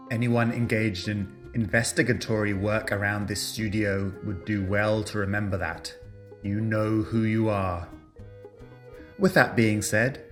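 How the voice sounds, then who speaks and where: A man playing a formal radio show host in a fantasy world, addressing listeners directly in a measured, scripted announcing style. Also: lowish voice, lightly acted. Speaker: announcer and host of a fiction broadcast. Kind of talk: scripted in-character radio announcing with sponsor read. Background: music behind the voice.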